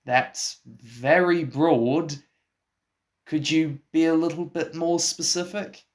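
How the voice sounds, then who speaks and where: An exasperated male interviewer, acted by a man in a comic radio play. He speaks slowly and questions everything with dry disbelief, like someone trying to get sense out of a nonsensical guest. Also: fairly high pitch, fairly even intonation. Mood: sceptical. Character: exasperated, baffled male interviewer and film producer. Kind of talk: scripted comic mock interview.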